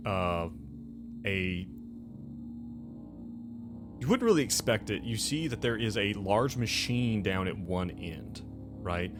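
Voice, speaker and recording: Low-voiced man improvising game narration. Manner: conversational, halting, explanatory. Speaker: game master. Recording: music behind the voice.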